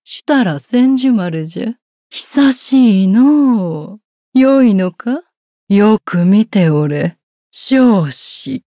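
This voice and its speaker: A haughty, elegant noblewoman for an anime game, coolly disdainful. Her dark, mellow voice moves very slowly and languidly through regal, old-fashioned courtly phrases. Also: mid-range voice, expressive, dry recording, clean studio sound. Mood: regal, composed. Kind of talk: anime game battle voice lines.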